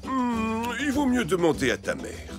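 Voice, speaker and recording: A man's voice: sits high, huge pitch swings, a little slow, music in the background, exaggerated voice. A man playing a bemused, sceptical neighbour dad in a children's cartoon, with a dry, hesitant manner. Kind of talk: dubbed children's cartoon acting.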